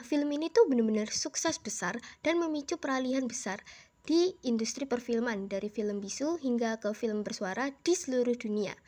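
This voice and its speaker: A young woman with a hoarse voice, talking casually as a student presenter in a voice-over lesson. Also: fairly high pitch, a little mellow. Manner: chatty, peppers talk with "nah" and "gitu deh". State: hoarse, a bit unwell. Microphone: home-recorded dubbing.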